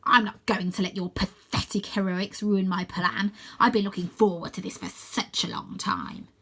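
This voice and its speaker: A woman's voice: medium pitch, fairly even intonation, moderate pace, fairly bright tone, breathy edge. A woman reading aloud and voicing a scheming, gloating male bird-like villain with a taunting, sing-song manner. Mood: menacing.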